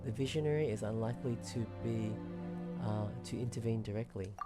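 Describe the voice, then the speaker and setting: A man's voice: fairly low pitch, fairly quick. A man streaming a game, giving casual playthrough commentary and reading some dialogue aloud. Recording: game music behind the voice.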